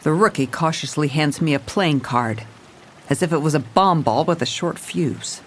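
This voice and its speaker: An actress playing a jaded, wry police commissioner who narrates in hardboiled detective style in an audio drama. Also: female voice, low voice, normal speed, a little hiss or hum, close to an everyday voice. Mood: intent. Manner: wry, world-weary. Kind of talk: scripted noir detective narration with dialogue.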